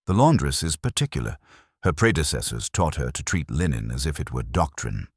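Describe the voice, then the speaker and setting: A genteel village vicar from a detective storybook, read by a male narrator, humble yet guarded. He speaks formally and carefully in a very low, expressive voice, recorded dry. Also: a little fast, breathy edge, dry recording, exaggerated voice. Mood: humble, obliging.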